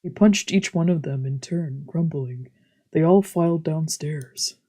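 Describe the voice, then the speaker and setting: A woman streamer with a breathy voice reading visual novel text aloud as dramatic narration. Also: low-pitched, measured pace, mellow. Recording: game music behind the voice. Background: game music.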